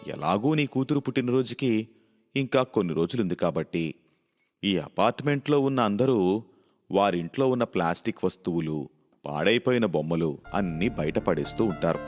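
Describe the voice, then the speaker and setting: Man as a low-voiced, practical advisor. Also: lively intonation, normal speed, darkish tone, long reverb tail, faint music behind, close to an everyday voice. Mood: helpful. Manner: matter-of-fact, advising. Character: practical, helpful male apartment worker advising a friend. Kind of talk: storybook dialogue for a moral tale.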